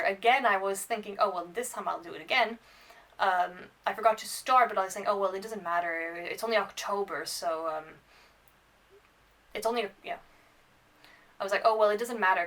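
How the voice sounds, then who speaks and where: A woman's voice: mid-range voice, a bit bright. A woman in her twenties, bilingual in French and English, chatting casually to camera in a rambling, hesitant, self-deprecating way as a student vlogger. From France and Ireland. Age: 20s. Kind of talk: casual unscripted vlog chat to camera. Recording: slightly distant microphone.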